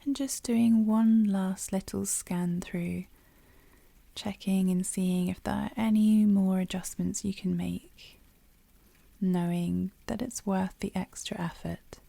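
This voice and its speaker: A woman guides a meditation in a very dark, velvety voice, soft and breathy to the edge of a whisper. Her delivery is slow and soothing, with gentle pauses between phrases. The sound is close and dry. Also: mid-range voice, fairly even intonation, dry recording. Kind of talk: guided yoga nidra meditation.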